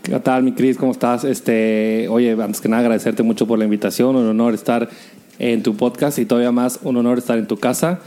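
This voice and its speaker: A man's voice: mid-range voice, fairly even intonation, fairly quick, dark tone. A man with a Mexican accent talking casually as an interview guest, often searching for his phrasing. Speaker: podcast guest, digital marketing professional. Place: indoors, in a home.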